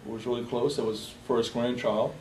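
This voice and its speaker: A young man from Bosnia talks in a personal interview, pausing often on fillers and restarting his sentences. His voice is mellow and low-key. He sits at a distance from the mic in an echoey room with a faint hiss behind him. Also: little pitch movement. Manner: halting, many fillers and restarts.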